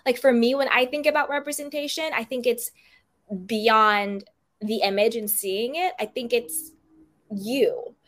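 A woman's voice: a little high, steady tone, unhurried, fairly bright tone. A woman hosting a podcast interview, talking in a chatty, upbeat way with plenty of "like" and "you know". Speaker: podcast host. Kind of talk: casual interview chat.

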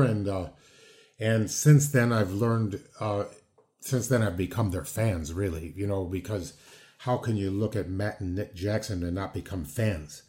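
Man in his sixties, mellow low voice, rambling casual chat, podcast host. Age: 60s. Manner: rambling, many fillers and restarts. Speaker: podcast host, former wrestler.

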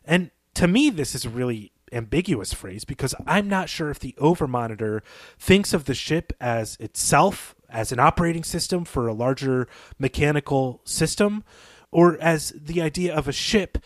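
A man's voice: a little high, lively intonation, normal speed, no echo. A man co-hosting a podcast, talking through literary analysis in a conversational style. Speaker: podcast host.

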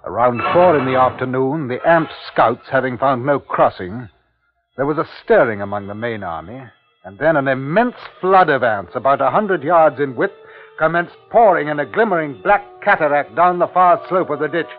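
An actor playing an anxious, observant commissioner recounting a siege in a 1950s radio drama. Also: male voice, mid-range voice, animated, close to an everyday voice. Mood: grave narration. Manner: measured, dramatic storytelling. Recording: tinny 1950s radio recording with music.

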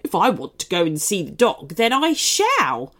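A woman's voice: fairly low pitch, wildly swooping pitch, moderate pace, fairly bright tone, exaggerated voice. A woman voicing a rude, grumpy male cupcake in a children's story, groaning and demanding. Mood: defiant.

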